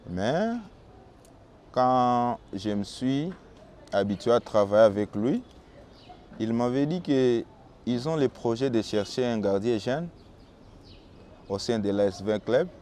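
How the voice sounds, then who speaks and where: A young man from Bukavu with a Congolese French accent, Swahili his first language, calmly answering interview questions as a football goalkeeper. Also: mid-range voice, a little hiss or hum. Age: young adult. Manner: calm and measured, with small restarts.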